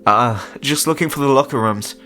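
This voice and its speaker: A man's voice: mid-range voice, moderate pace, pushed and bright, a little hiss or hum, pitched above the performer's own voice, lightly acted. A man voicing an awkward young male park employee in an audio story, hesitant, stammering and sheepish. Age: young adult.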